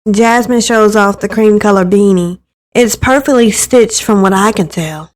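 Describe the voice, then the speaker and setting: A woman reading first-person scripted story narration aloud from a visual novel, in an expressive way. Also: mid-range voice, normal speed.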